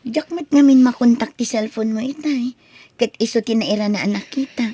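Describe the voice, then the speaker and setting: A shy, soft-hearted young woman in an audio drama, played by a woman, speaking with an Ilocano accent. Her voice is fairly high yet husky, hesitant and meek, recorded dry and close. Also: normal speed, no room sound. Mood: meek, grateful.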